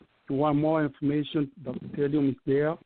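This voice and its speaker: A man's voice: a little high, little pitch movement, fairly quick. A man in his fifties from Cameroon, giving an earnest, persuasive testimonial as a guest on a call. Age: 50s. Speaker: guest speaker. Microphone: call-in line. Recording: tinny phone-like call audio.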